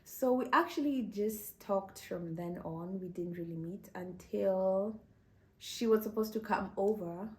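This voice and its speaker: A woman's voice: medium pitch, unhurried. A young woman from Kenya, telling a personal story to camera in a chatty way, often laughing and saying "you know". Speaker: vlogger.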